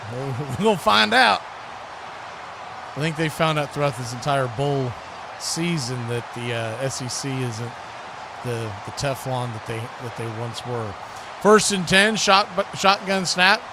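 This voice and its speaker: Guy doing live football commentary, highish voice, noisy crowd in the background. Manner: excitable, shouts on big plays, drops low in asides. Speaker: sports play-by-play host. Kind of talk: live football play-by-play and chat. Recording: crowd and game noise behind the voice.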